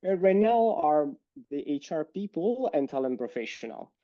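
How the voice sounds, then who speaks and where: A man with an Italian accent answering interview questions in a thoughtful way, pausing often with "uh". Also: fairly high pitch, sing-song, dry recording. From Italy. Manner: thoughtful, hesitant with frequent "uh" pauses. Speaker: guest. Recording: slightly dull lo-fi sound.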